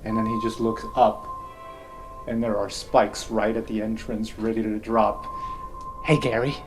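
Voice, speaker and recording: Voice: medium pitch, completely flat delivery, a little slow. A game master casually narrating an improvised tabletop fantasy game, telling players what their characters see. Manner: casual, second-person storytelling. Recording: roomy sound with music behind.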